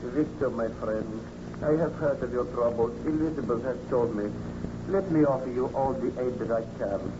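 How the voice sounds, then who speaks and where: Guy acting as a loyal concerned friend, mid pitch, formal, muffled noisy old radio play. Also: moderate pace, natural voice. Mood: concerned, resolute.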